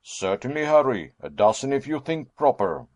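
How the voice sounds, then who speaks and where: A man voicing a jovial, excitable elderly professor and explorer who speaks in a hearty, booming voice. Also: moderate pace, dry recording.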